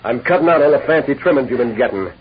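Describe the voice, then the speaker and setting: Male actor as gang leader: menacing, sneering, clipped orders, average pitch. Muffled vintage radio drama. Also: animated, very fast, lightly acted. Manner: curt, sneering, threatening. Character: cold, menacing male outlaw boss holding captives. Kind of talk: 1950s radio western acting. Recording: dull old radio recording, faint noise.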